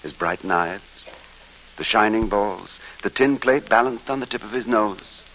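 A man narrating a scripted tale in classic radio style, theatrically moving between hushed and emphatic delivery, with music behind him. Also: lowish voice, measured pace, slight background noise, low-quality recording. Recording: old radio broadcast, music underneath.

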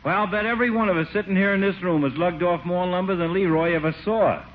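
An actor playing a blunt, slangy, opinionated small-town barber in an old radio comedy, repeating himself for emphasis. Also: male voice, high voice, fast talker, natural voice. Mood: scoffing. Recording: muffled, noisy vintage radio recording.

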